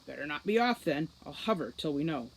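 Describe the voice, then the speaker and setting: A woman reading a gruff, short-tempered male fairy police commander in a storybook, with a barking, commanding manner. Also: low-pitched, lively intonation, brisk, slight background noise, poor audio. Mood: impatient.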